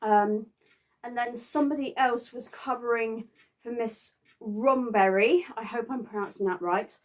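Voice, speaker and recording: A woman chatting casually to viewers as a vlogger, halting and sometimes stumbling over words. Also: on the high side. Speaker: vlogger reviewing a theatre visit. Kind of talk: casual unscripted chat to viewers.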